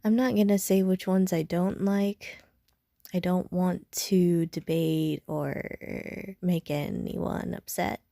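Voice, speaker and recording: A woman's voice: lowish voice, steady tone, slow, vocal fry, mellow, no echo. A woman playing a casual, slightly prickly young gamer in an audio roleplay, talking hesitantly and with dry self-mockery to the listener. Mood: relaxed, chatty.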